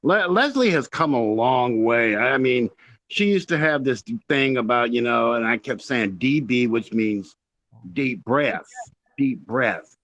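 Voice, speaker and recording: Guy rambling and bragging a bit on a video call, mid pitched voice, rock musician type. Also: expressive, a little slow, fairly bright tone, no room sound. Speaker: guest, rock musician friend of the band. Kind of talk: casual chat.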